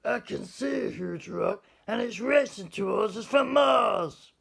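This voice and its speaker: An excitable young boy from a children's storybook. He is enormously loud, bellowing each exclamation in a high, strained pitch. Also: a little slow, vocal fry, full character voice. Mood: alarmed shouting.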